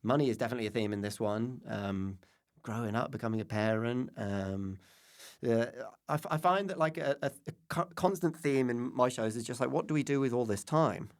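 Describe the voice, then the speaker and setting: A man's voice: mid-range voice, brisk. A man, a comedian guest on a podcast, chatting casually in a rambling, playful way and slipping into mock voices.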